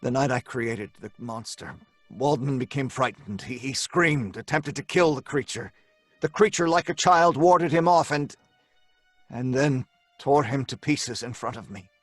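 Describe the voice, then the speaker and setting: An actor playing a tormented, secretive scientist in an old-time radio drama, speaking in a grave, deliberate, dramatic way. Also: male voice, medium pitch, no echo, faint music behind, natural voice. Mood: grim confession. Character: tormented male scientist, guilt-ridden, secretive and defiant. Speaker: lead character and narrator.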